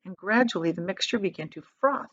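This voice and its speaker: A woman reading a children's book aloud as an expressive storyteller. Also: mid-range voice, brisk, lo-fi sound.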